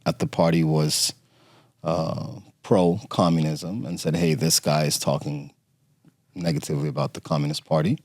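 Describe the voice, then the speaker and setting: Middle-aged man guest from Romania, low voice, halting storyteller, dead-dry mic. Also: a little mellow, no echo. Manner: halting, many fillers and restarts. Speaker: podcast guest. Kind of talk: conversational interview storytelling.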